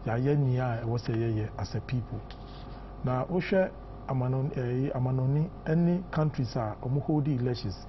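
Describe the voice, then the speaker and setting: A man's voice: mid-range voice, fairly quick, lo-fi sound, a little hiss or hum. A Ghanaian man in his fifties, a political guest on a morning newspaper review, mixing English and Twi with many fillers and emphatic points. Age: 50s.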